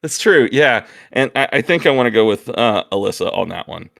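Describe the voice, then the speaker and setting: A man's voice: medium pitch, lively intonation, brisk, vocal fry, a bit bright. A man co-hosting a casual geeky chat, agreeable and thinking aloud as he goes. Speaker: co-host of a hobby video channel.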